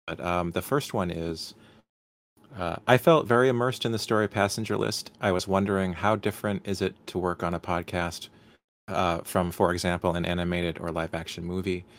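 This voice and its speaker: A man moderating an informal Q&A, reading out questions and speaking a little haltingly between them. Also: low voice, fairly even intonation.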